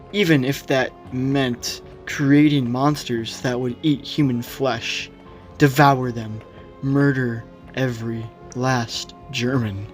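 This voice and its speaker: A man hosting a video, giving opinionated, conversational commentary in a casual explainer style. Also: medium pitch. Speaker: video host. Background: background music.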